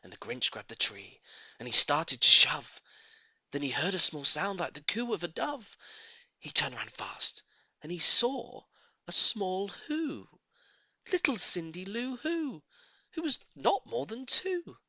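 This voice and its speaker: Slow, wildly expressive male storybook narrator. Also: high-pitched, dry recording. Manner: theatrical, playful, expressive. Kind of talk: dramatic rhyming storybook narration.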